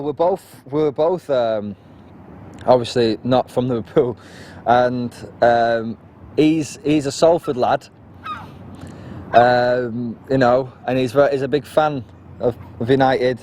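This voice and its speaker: A man's voice: medium pitch, steady tone, measured pace, darkish tone, slight background noise. Hesitant, self-deprecating male actor. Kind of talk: casual interview chat.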